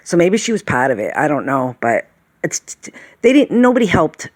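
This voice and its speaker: A woman's voice: sits low, sing-song, moderate pace, no room sound, clean studio sound. Sighing, rambling woman in her late fifties. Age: late 50s. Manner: sighing, meandering, peppered with "okay?" and "all right?". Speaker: vlogger. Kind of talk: rambling casual monologue.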